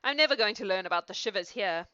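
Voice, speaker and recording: Woman playing a cocky fairy-tale boy, fearless, brash, bright forceful tone, dry slightly dull recording. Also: medium pitch, lively intonation, fast, no echo. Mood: frustrated. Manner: brash and plain-spoken.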